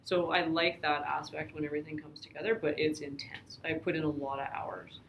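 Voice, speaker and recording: A woman from Canada, a field programs coordinator, explaining her work in a brisk, emphatic way. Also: low-pitched, steady tone, creaky, lo-fi sound, a little hiss or hum. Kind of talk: casual podcast interview.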